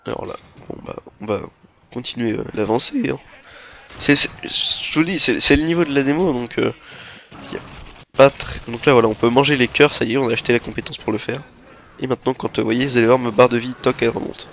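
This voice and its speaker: A man's voice: mid-range voice, a little hiss or hum. Young man with a head cold. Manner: rambling, halting, relaxed. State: stuffy nose from a head cold. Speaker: gameplay commentator. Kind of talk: game walkthrough commentary. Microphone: headset microphone.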